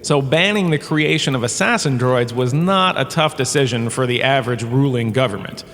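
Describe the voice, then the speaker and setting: An actor playing a pompous, washed-up star turned flippant professor, lecturing in a theatrical, breezily dismissive way for a comic audio drama. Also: male voice, medium pitch, lively intonation, normal speed, fairly bright tone. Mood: amused, lecturing. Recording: long cave reverb, background ambience noise.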